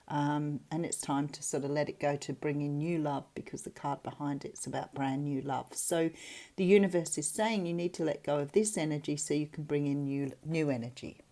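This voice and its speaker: A woman's voice: low-pitched, fairly even intonation, moderate pace, dark tone. An Australian woman giving a casual tarot reading in a chatty, reflective, conversational way. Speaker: tarot reader, channel host.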